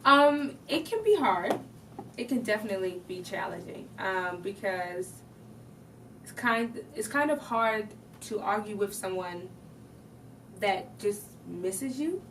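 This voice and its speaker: A woman's voice: medium pitch, expressive, takes it slowly. A woman singer being interviewed on a podcast, bubbly and giggly as she talks casually. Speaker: singer in a group. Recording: distant mic with faint noise.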